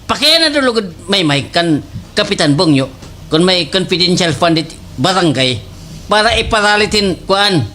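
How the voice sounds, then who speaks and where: A man's voice: high-pitched, big pitch swings, moderate pace, pushed and bright, heavily acted. A man playing a hapless village father in a slapstick radio comedy, stammering and exclaiming with a Waray accent. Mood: grumbling. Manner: stammering, exclaiming, prone to laughing. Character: loud, hapless village father, comic complainer. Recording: faint background noise.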